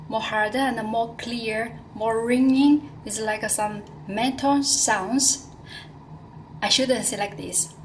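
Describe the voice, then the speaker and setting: A woman's voice: a little high, distant mic, noisy background. Chinese-accented woman teaching, echoey room. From China. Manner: explanatory, with many fillers and repeated phrases. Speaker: language teacher. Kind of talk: casual pronunciation lesson. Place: in Dubai.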